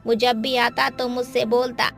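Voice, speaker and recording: A woman's voice: on the high side, flat delivery, a little slow, creaky. A woman voicing a vengeful witch ghost, a mother wronged by her village, in a menacing, theatrical cartoon horror style. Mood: bitter, aggrieved. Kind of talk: Hindi cartoon horror voice acting. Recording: background music under the voice.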